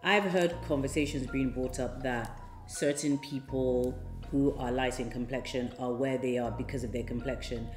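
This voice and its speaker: A young British woman co-hosting a casual chat, speaking in a soft, measured way. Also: a bass voice, steady tone. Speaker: podcast co-host. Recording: echoey room with faint music.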